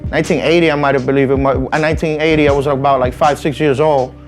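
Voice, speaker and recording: A man's voice: medium pitch, fairly even intonation, fast, faint music behind. A man in his forties from the Dominican Republic, a guest chatting easygoingly in an interview, with frequent "you know what I mean.". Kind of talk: casual interview chat.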